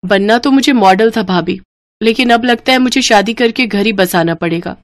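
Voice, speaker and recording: A woman's voice: a little high, normal speed, no room sound, clean studio sound, lightly acted. A shy, unhappy college girl, played by a female narrator in a cartoon moral tale. Her voice is plaintive and self-conscious, that of a younger sister who feels small. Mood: dejected.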